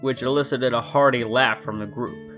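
A man's voice: medium pitch, fairly even intonation, moderate pace. Man narrating a story over guitar music. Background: soft guitar music.

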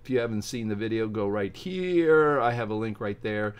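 A middle-aged man with an American accent giving casual, opinionated vlog commentary, emphatic, reading aloud haltingly from a book. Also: fairly low pitch, lively intonation, clean tone. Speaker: science video host. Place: small home study with bookshelves.

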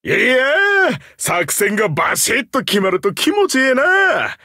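A middle-aged hero commander from a video game, genial and sure of himself, speaking with an Osaka Kansai accent. His husky voice sits fairly high for a man and swings very widely in pitch. The delivery is expressive and theatrical. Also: unhurried, no echo, studio quality, heavily acted. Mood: elated. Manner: expressive, teasing, rallying. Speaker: game character voice actor. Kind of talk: Japanese mobile game character voice lines.

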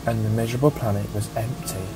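A man narrating a scripted story as an audiobook reader, with a measured storytelling manner. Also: fairly low pitch, steady tone, over loud music, poor audio.

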